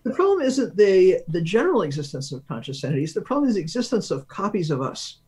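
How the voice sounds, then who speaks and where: Male interview guest, scientist type, slightly high voice, explains step by step with analogies and hesitant pauses. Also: very animated, darkish tone. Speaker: guest research physicist.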